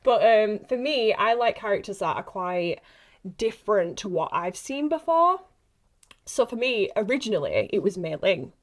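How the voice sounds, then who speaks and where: A woman in a casual podcast chat, talking in an excitable, giggly, rambling way as she interviews a fellow actress. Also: mid-range voice, moderate pace. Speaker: actress interviewing a castmate on a behind-the-scenes podcast.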